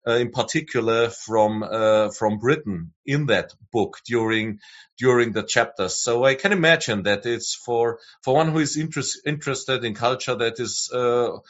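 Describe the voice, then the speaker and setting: A man's voice: medium pitch, normal speed, fairly bright tone. A German man with a German accent, speaking English as a second language, hesitant and full of filler sounds, as a guest author. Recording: dull lo-fi remote audio.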